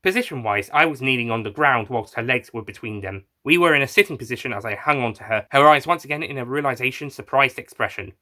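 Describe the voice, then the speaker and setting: A man's voice: medium pitch, fast, hardly any pauses, sharp tone. A man reading scripted first-person narration for an audio adventure story.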